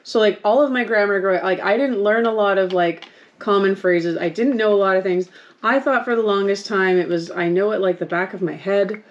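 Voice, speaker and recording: A woman's voice: mid-range voice, fairly quick, muffled recording. A young woman from Canada chatting casually while playing a board game with friends, saying "like" a lot and laughing often. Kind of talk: casual chat.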